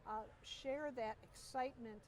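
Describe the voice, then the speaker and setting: A woman's voice: fairly high pitch, a little slow, slight background noise. Enthusiastic middle-aged woman telling stories. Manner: animated, enthusiastic storyteller. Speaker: interview guest. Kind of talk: storytelling interview. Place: outdoor air show.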